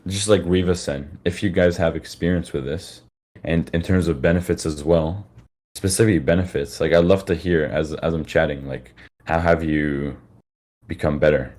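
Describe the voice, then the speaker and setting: A man's voice: rumbling low, fairly even intonation, moderate pace, a little mellow. A man with a tired, worn-out voice giving a casual, rambling live lecture to listeners, with many fillers and restarts.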